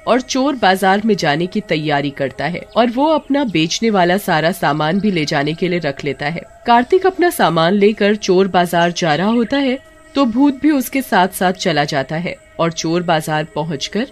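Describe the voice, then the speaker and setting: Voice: medium pitch, sing-song, moderate pace, faint music behind. A narrator telling a scary story in scripted, dramatic storybook narration.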